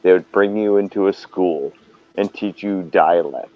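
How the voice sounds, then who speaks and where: A man's voice: low-pitched, measured pace, no echo, muffled recording. A man hosting a casual live chat, rambling and hesitant as he talks. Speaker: host.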